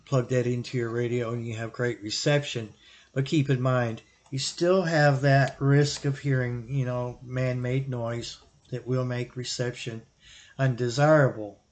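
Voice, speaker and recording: A man giving an informal, explanatory product recommendation as a hobbyist reviewer, thinking aloud in halting, broken phrases. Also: mid-range voice, fairly even intonation. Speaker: YouTube radio hobbyist and reviewer.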